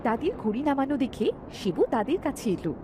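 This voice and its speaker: A woman telling a cartoon story in gentle, scripted storybook narration. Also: on the high side, animated, quick pace, noisy background. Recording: narration over loud music.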